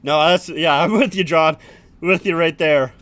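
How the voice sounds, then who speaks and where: Male streamer, high bright voice, quick hockey play-by-play with casual asides, dry close sound. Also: no room sound. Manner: rapid running play-by-play, swears, chats with viewers. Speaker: streamer hosting a hockey watch-along. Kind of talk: live play-by-play commentary.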